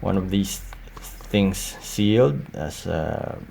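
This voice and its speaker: A man giving a casual, unscripted show-and-tell in a hesitant, halting way. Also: sits low, vocal fry. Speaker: collector showing cassette tapes. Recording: audible steady background noise.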